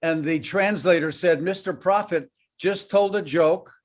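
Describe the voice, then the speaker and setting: A man with a high-pitched voice talks over a video call. He speaks in short, measured phrases with a light joking touch, stopping often so his words can be translated. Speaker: guest speaker. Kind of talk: casual talk through an interpreter.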